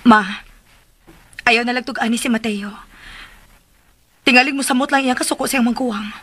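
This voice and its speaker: A timid young woman in a radio drama. Her voice is slightly high and she speaks in a placating way, as a daughter to a mother. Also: steady tone, normal speed, fairly bright tone, breathy edge. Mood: placating, anxious. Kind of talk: Philippine radio drama acting.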